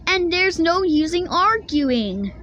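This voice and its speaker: A firm mother bear from a children's storybook, voiced by a woman reader, speaks in a high voice. She scolds slowly and means what she says. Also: big pitch swings, a bit bright, no echo, lo-fi sound, full character voice. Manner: firm, admonishing.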